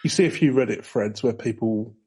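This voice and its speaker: Male podcast host, soft-edged husky tone, relaxed and dry, chatting loosely. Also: medium pitch, brisk. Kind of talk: casual chat, reading out an article.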